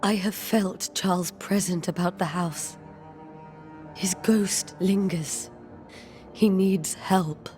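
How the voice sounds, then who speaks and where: Refined, sorrowful widow. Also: female voice, lowish voice, fairly even intonation, a little mellow, faint music behind. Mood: anguished. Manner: formal, measured, sorrowful. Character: grieving widow of a colonial settlement, refined and restrained. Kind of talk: video game drama acting.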